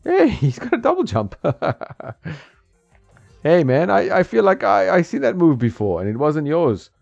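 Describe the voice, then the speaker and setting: A man's voice: fairly high pitch, very animated, unhurried, creaky, a little mellow, dry recording. A man giving casual gameplay commentary, often laughing and talking back to the characters on screen. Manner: chuckling, talks back to the game characters. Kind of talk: casual let's-play commentary. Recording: slightly dull lo-fi mic with game music.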